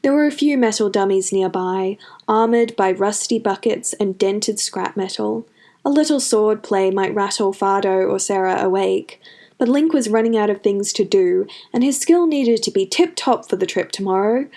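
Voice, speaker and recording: A woman reading scripted fiction as an expressive storyteller, with a medium-pitched voice. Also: normal speed. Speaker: narrator. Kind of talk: scripted fan fiction narration.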